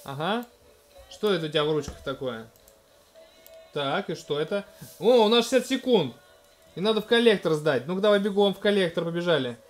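Gentle man narrating a game to his toddler. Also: high-pitched, expressive, fairly quick, pauses often. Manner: gentle, coaxing, talks to the child, narrates what is on screen. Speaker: let's-play host playing with his toddler. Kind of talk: casual game commentary to a small child.

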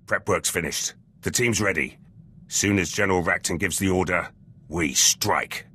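An actor playing a cocky, battle-hungry Imperial lieutenant in a video game, talking in gruff, clipped, terse phrases. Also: male voice, low-pitched, normal speed, sharp tone, exaggerated voice. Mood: eager.

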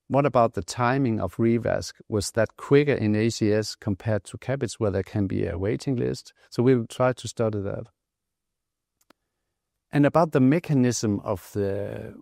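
A middle-aged Danish man speaks in a fairly low, creaky voice with a Danish accent. He sounds like a physician being interviewed about research, measured and factual. The recording is close and dry, on a lapel mic. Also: a little mellow, dry recording. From Denmark. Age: middle-aged, around 60. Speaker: physician and clinical trial investigator. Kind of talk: expert interview explaining research findings. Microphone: lapel microphone.

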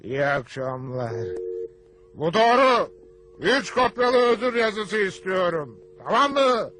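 A man's voice: high-pitched, sing-song, slow, noisy background, exaggerated voice. A man voicing a blustering, big-hearted middle-aged father in a language cartoon dub, with theatrical delivery. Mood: proud, commanding. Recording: music under the dialogue.

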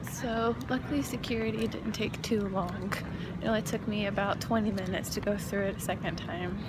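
A woman's voice: mid-range voice, steady tone, moderate pace. Young woman vlogger from Florida, rambling and chatty, phone mic, heavy background noise. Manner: chatty, rambling, sometimes whispering. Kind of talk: casual travel vlog. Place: airports, plane and car, in and out of doors. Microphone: phone camera at arm's length. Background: loud travel noise, vehicles.

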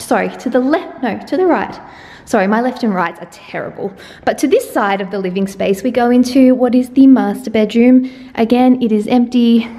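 A woman's voice: medium pitch, a little mellow. A young woman chatting casually and cheerfully as she walks the camera through her empty apartment, laughing often. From Australia. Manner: chatty and upbeat, with frequent laughs. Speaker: vlogger. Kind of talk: casual apartment tour vlog. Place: empty new apartment, indoors. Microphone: handheld camera at arm's length. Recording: echoey empty rooms.

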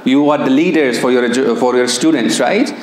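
A man's voice: medium pitch, fairly quick. A man in his thirties with a Pakistani accent, whose first language is Persian, giving a chatty live seminar talk on a handheld mic in a room with a projector. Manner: chatty, engaging, asks audience questions. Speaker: NLP coach and trainer. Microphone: handheld microphone. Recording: echoey room, faint hum.

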